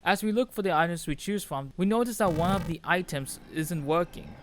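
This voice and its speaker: A man's voice: high-pitched, fairly quick, a little hiss or hum. A man reading a scripted video essay in an informal, opinionated way, with casual asides. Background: background music.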